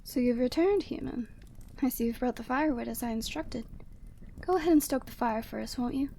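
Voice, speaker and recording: A woman playing a proud, commanding yeti woman in a scripted ASMR roleplay, speaking in an imperious, measured and formal way. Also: a little high, vocal fry, warm tone, a little hiss or hum. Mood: pleased, imperious.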